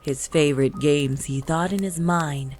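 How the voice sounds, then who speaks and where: A woman storyteller reading a rhyming Christmas poem in a measured, lilting delivery. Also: low voice, darkish tone, slight background noise. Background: music.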